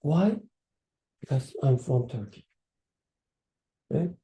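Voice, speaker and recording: Slow, dark-voiced man in an echoey room. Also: mid-range voice, lively intonation. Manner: thoughtful, hesitant, with fillers and restarts. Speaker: discussion participant, researcher. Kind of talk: casual study-circle discussion.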